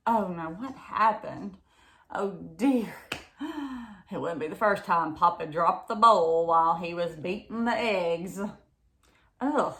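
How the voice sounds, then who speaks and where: A woman's voice: fairly low pitch, slow pace, a bit bright, roomy sound. A woman reading a children's picture book aloud, expressively, with exclamations and chatty questions to her listeners. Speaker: read-aloud storyteller.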